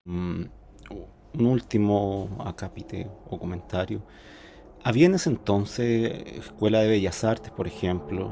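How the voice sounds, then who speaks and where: A man in his forties with a Chilean accent, a student asking a hesitant, halting question in class. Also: on the low side, vocal fry, mellow, a little hiss or hum. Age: 40s. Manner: hesitant, halting, many fillers and restarts.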